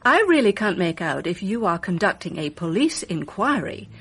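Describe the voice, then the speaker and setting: A woman's voice: lowish voice, big pitch swings, normal speed, slightly rough, heavily acted. A woman playing a poised, evasive film actress in a radio mystery drama, polite, guarded and arch. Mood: guarded, cool.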